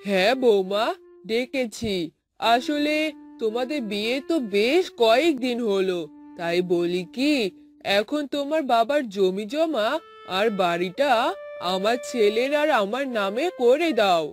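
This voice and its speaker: Woman acting a slow-talking scheming older mother-in-law. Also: fairly high pitch, animated, soft background music. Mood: coaxing. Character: scheming older mother-in-law, sweet then greedy and wailing. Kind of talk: Bengali cartoon voice acting.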